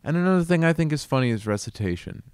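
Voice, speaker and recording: A man hosting a casual video, chatting about an album in a relaxed, amused, rambling way. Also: fairly high pitch, fast talker, clean tone, dry recording, studio quality. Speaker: video host reviewing an album. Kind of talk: casual commentary.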